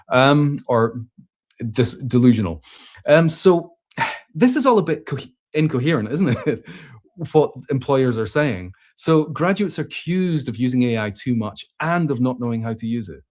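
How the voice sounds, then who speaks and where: A man's voice: medium pitch, a little mellow. A man hosting a casual question-and-answer show, thinking aloud as he responds to comments, with frequent restarts and filler sounds. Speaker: host.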